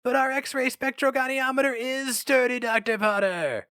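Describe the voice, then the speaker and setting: A man's voice: very high-pitched, normal speed, sharp tone, smooth, dry recording, clean studio sound, voice pushed up. Man doing a pushy, boastful salesman. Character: pushy, grinning bald salesman, boastful middle-aged man.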